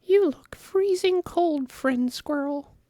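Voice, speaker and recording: A man voicing a small, kindly female storybook mouse in a thin, gentle falsetto. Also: slow, no room sound, clean studio sound.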